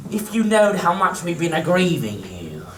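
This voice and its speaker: A woman's voice: fairly low pitch, big pitch swings, moderate pace. A woman playing a fawning, wheedling rustic workhouse keeper in an amateur radio play, gushing and folksy. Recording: echoey hall, distant mic, faint noise.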